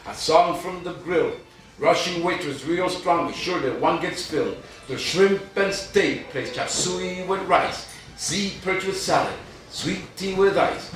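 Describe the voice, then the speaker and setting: A man reading his poetry aloud at a live event, with an informal, self-deprecating, rhythmic delivery. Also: high voice. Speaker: poet. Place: live event room in Chicago. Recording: distant mic, very echoey noisy room.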